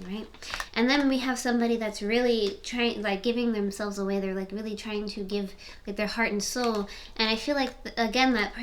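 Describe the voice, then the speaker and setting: A woman's voice: medium pitch, a little hiss or hum. Chatty young woman reading tarot cards. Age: 20s. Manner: chatty, rambling, repeats questions while shuffling. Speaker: tarot reader. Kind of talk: casual tarot reading to camera. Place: home, seated in front of camera.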